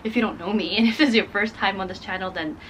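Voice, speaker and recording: A woman's voice: mid-range voice, fast talker, a bit bright, a little hiss or hum, low-quality recording. Chatty young woman vlogging at home. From Portugal. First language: Portuguese. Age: 20s. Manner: chatty, rambling, frequent "like" fillers. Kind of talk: casual vlog chat. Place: bedroom at home. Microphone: camera on a desk in front.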